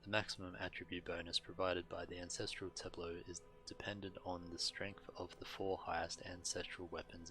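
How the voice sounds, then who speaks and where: Low, flat-voiced man narrating gameplay. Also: a little hiss or hum, rough recording. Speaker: video game streamer. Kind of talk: casual game commentary, reading on-screen text aloud.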